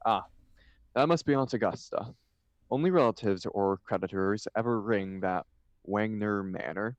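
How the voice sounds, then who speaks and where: Man acting a witty young dandy, lowish pitch, dry sound, flippant, theatrical, stage comedy. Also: normal speed, dry recording, close to an everyday voice. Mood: breezy, witty. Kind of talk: table read of a stage comedy.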